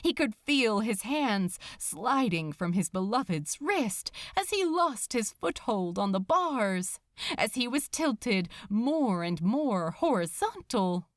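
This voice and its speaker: Female fiction narrator, suspenseful and emphatic, very animated melody, dry close sound. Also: on the high side, no room sound. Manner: theatrical, suspenseful, deliberate emphasis. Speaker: podfic narrator. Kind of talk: dramatic scripted story narration.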